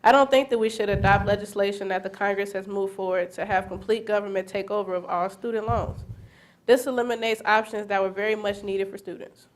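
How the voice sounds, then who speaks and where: A woman's voice: mid-range voice, steady tone, brisk. A young woman, a student reader, reading out a scripted political statement. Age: young adult.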